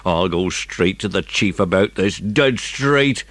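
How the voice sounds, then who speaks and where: A man's voice: deep voice, animated, takes it slowly, no room sound, exaggerated voice. A man voicing a pompous, bullying village policeman in an audiobook story, blustering and overheated. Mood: cross, blustering. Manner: blustering, shouting, grumbling.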